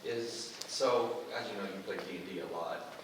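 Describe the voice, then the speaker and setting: Deep voice guy explaining an idea from the audience, distant, echoey, some room noise. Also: normal speed, a bit bright. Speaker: audience member offering suggestion. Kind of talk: casual, explanatory feedback.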